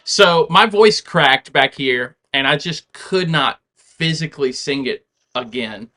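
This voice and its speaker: A man's voice: a little high, animated, slow, pushed and bright. A man casually explaining how he produced and recorded a song at home, in a loose, chatty way. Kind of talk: casual tutorial walkthrough.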